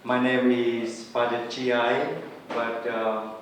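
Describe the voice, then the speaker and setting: A male priest with a Vietnamese accent talks at a slow, unhurried pace, with a touch of humor. His voice is mid-pitched. He is heard from a distance in a strongly echoing hall with faint noise behind. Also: flat delivery. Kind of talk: personal testimony talk. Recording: echoey hall, mic far off.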